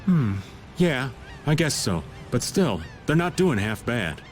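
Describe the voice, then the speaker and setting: Easygoing seasoned man, anime game: wry, animated middle register over music. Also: medium pitch, slow. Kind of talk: English anime game dub acting.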